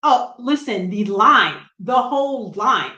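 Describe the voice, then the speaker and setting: A middle-aged woman from New Jersey with a light Brooklyn accent, a comic writer chatting as a guest, self-deprecating and quick to laugh. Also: a little high, lively intonation, dull audio, a loud crowd. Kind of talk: casual interview chat. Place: room at home. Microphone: video call. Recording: roomy echo on a video call.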